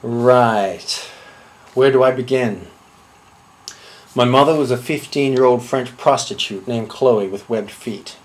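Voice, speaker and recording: Eccentric male villain, acted by a man: grandly self-absorbed, measured deadpan comedy. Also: medium pitch, close to an everyday voice. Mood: smug deadpan. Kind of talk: comic monologue.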